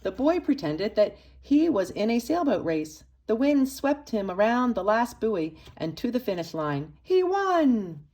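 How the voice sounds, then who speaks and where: A woman reading a children's picture book aloud expressively, with dramatic emphasis and pauses for young listeners. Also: a little high, sing-song, a little mellow. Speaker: storybook reader for children's church.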